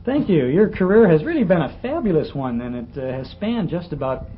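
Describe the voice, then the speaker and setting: An American man hosting a casual, nostalgic interview, with halting, hesitant phrasing. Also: a little high, very animated. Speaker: radio interviewer and host. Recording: muffled, noisy archival radio interview.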